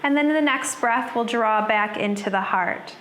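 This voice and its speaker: A woman yoga instructor guiding a class in a soothing, unhurried manner, leaving pauses between her cues. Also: a little high, a bit bright. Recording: roomy echo with background noise.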